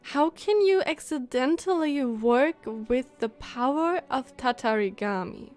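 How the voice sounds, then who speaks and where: A woman doing casual live game commentary, chatty and musing aloud as she reacts to the story. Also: sits high. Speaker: game streamer.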